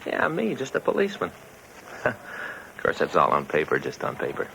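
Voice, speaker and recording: A man's voice: sits low, huge pitch swings, a little fast, vocal fry, bright, forceful tone, full character voice. A man acting a talkative, earnest policeman with an American accent, in folksy 1950s radio drama style. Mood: folksy complaining. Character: chatty, earnest homeowner policeman, enthusiastic and easily aggrieved. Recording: muffled old radio recording, faint noise.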